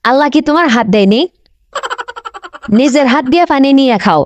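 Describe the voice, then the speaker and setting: A woman's voice: high voice, expressive, fairly quick, lo-fi sound. A woman voicing a quarrelsome, defiant village wife in a comic cartoon, curt and scolding, with a North Bengal accent. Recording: slightly noisy recording with clicks.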